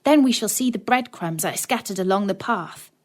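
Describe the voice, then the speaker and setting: A woman's voice: mid-range voice, lively intonation, fairly quick, close to an everyday voice. A woman voicing a young boy, a brave and comforting big brother in a storybook, earnest and reassuring. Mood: hopeful. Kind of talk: storybook character voice.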